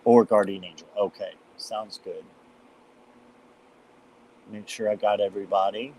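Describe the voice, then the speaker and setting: Slightly hoarse man reading tarot live. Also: fairly low pitch, fairly even intonation, measured pace, a little mellow, slight background noise, rough recording. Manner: chatty, greets viewers by name, many fillers. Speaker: tarot reader hosting a live stream. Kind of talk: casual live-stream chat.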